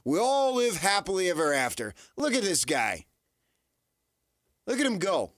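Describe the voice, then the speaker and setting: A man with a high voice and swooping, lively intonation argues sports as a radio host. He is insistent and drives each point home by repeating it. The studio sound is dry and close. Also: normal speed, no room sound. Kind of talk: unscripted sports debate.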